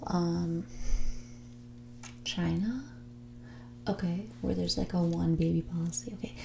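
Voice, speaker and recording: Casting: a woman as mean rich girl for ASMR roleplay, breathy whispers and soft speech, low and mellow, condescending and bratty. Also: a little hiss or hum. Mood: sarcastic explaining. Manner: condescending, bratty, dismissive, drawn-out casual phrasing. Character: mean, snobby rich young woman on a bad date. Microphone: close ASMR microphone.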